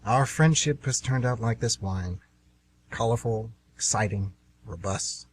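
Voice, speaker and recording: A man's voice: fairly low pitch, measured pace, slight background noise, close to an everyday voice. A man playing a polite, understated hitman who poses as a friendly tourist in an amateur radio play. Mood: rueful, polite. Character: smooth, rueful male hitman posing as friendly tourist.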